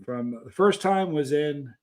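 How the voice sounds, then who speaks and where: Middle-aged male streamer, voice a little on the high side, informal chat with viewers, animated anecdote teller. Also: unhurried. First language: English. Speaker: live stream host. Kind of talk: casual chat answering live viewers, telling travel anecdotes.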